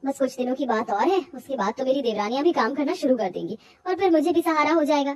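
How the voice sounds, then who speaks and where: A woman's voice: high-pitched, lively intonation, fast talker, a little mellow, slight background noise. A woman voicing a meek, hardworking young daughter-in-law in a cartoon story, polite and weary. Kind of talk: cartoon moral-story acting.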